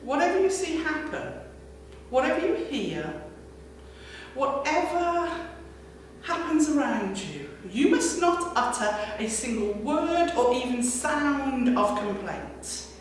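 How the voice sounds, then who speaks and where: A woman voicing a stately, officious royal chamberlain in a folk tale, speaking formally and with deliberate emphasis. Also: high-pitched, big pitch swings, pitched above the performer's own voice, full character voice. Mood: officious, stern. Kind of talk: live folk-tale storytelling. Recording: very echoey hall, distant mic, faint noise.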